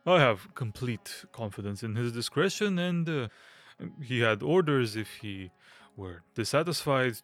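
Man playing a hesitant, fussy effete gentleman. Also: mid-range voice, natural voice. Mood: nervous, apologetic. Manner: hesitant, stammering, nervous giggles and throat-clearing. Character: nervous, fussy, effete English gentleman aesthete. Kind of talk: audio drama acting.

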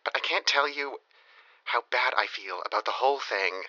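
Bright, melodramatic lovesick man. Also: medium pitch, highs cut off. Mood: regretful, pleading. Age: 30s. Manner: dramatic, halting, rambling confessional. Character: rambling, lovesick, self-pitying ex-boyfriend in his thirties. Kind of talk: audio comedy drama acting.

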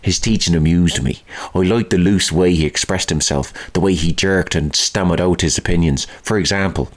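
Male reader, deep register, scripted first-person diary narration. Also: moderate pace.

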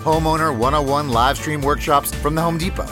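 A man reading a scripted radio commercial in a smooth, upbeat sales voice. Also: a little high, fairly even intonation, fairly quick, music in the background. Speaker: commercial announcer.

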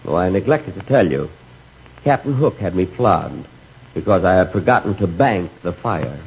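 An actor playing an earnest, nervous, naively odd bank customer in a 1940s radio comedy. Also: male voice, sits low, animated, moderate pace, vocal fry. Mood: earnest, uneasy. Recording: very noisy, muffled 1940s radio recording.

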